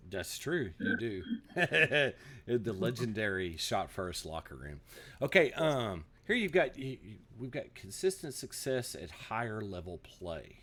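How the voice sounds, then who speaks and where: A man's voice: fairly low pitch, very animated, unhurried, fairly bright tone. A man hosting a casual interview, affirming his guest and prompting with questions.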